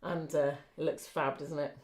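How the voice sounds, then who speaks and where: A woman with a fairly low voice chats casually as co-host of a live craft show. She is encouraging and appreciative. The sound is noticeably roomy and echoing. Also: little pitch movement, moderate pace, a little mellow. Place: indoor craft room. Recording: echoey room.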